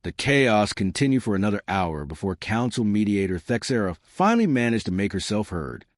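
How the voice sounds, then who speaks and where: A man narrates a science fiction story in a low voice. His pitch moves in lively swings through the scripted prose. The sound is dry and close, with no room around it. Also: a little fast, no room sound.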